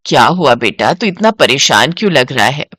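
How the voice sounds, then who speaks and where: Lady putting on a caring older indian mom in a moral story, low motherly coaxing voice. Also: very animated, moderate pace, a bit bright, slightly rough, no room sound, clean studio sound, exaggerated voice.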